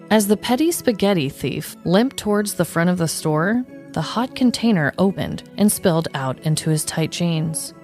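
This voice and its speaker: A woman's voice: fairly low pitch, darkish tone. Woman podcast host reading over music. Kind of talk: scripted comedic story narration.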